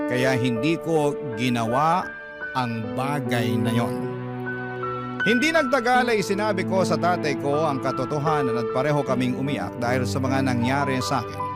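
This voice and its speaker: A man narrating a listener's letter in first person, in an earnest, heartfelt tone for a radio drama. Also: medium pitch. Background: music.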